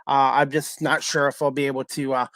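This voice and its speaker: Halting man hosting a live podcast. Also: medium pitch, level tone, fairly bright tone, no echo, clean studio sound. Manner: halting, hesitant delivery. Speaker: podcast host. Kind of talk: casual live sports talk with scripted-sounding news updates.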